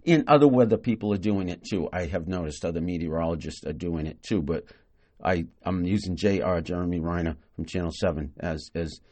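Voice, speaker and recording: A man's voice: low voice, fairly quick, darkish tone. A man hosting a solo podcast, talking casually and enthusiastically, with many fillers and restarts. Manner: enthusiastic, praising. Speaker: podcast host. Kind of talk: solo podcast monologue on marketing.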